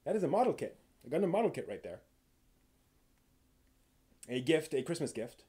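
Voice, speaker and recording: A man hosting a live stream, giving casual unscripted commentary in a halting, rambling way that repeats words and trails off. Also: on the high side, sing-song, dark tone, husky. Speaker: live stream host and anime reviewer.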